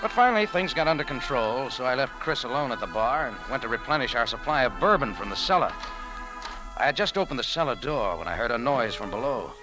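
An actor playing a tough, wry American cafe owner with a hard-boiled manner, in 1940s radio style. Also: male voice, mid-range voice, sing-song, quick pace, music in the background, close to an everyday voice, poor audio. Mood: wry narrating. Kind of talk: 1940s radio acting with first-person narration.

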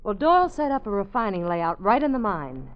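A woman's voice: mid-range voice, sing-song, brisk, lightly acted. An American actress playing a game, worldly young woman who confides coolly in a 1940s radio detective drama. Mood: wry, confiding. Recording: muffled, noisy 1940s radio recording.

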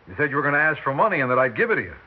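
A man's voice: fairly high pitch, very animated, rapid-fire. An actor with an American accent playing a blunt, incredulous male theatre producer in a 1960s radio drama. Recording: muffled 1960s radio recording.

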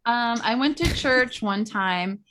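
A woman's voice: on the high side, lively intonation, slow, a bit bright, poor audio. A woman with a breezy, matter-of-fact way of talking, improvising a character in a comedy sketch.

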